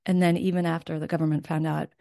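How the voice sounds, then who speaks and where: A woman's voice: low voice, flat delivery, quick pace, vocal fry, warm tone. A woman author whose first language is Russian, raised in Ukraine and Russia, answering interview questions in a thoughtful way with frequent ums and restarts. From born in Crimea, raised in Ukraine and Russia. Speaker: author guest. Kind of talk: interview conversation.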